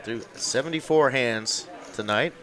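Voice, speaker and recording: Texan man, poker play-by-play, mid pitch, folksy dry humor, booth. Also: unhurried, a bit bright. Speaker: poker commentator. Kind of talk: live poker commentary. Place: commentary booth.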